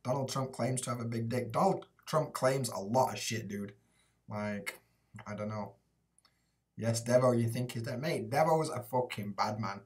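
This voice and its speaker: A man's voice: medium pitch, moderate pace, distant mic. A young man with a Yorkshire accent answering viewer chat on a live stream, casual and sweary with lots of "like" and "mate". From England, Rotherham area. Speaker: YouTuber living in Japan. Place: small room indoors with shelves behind.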